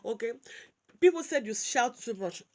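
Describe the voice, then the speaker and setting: A Nigerian woman with a high voice, giving animated casual commentary that slides between English and Pidgin, with frequent restarts and repeated words. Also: normal speed. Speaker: commentary vlogger.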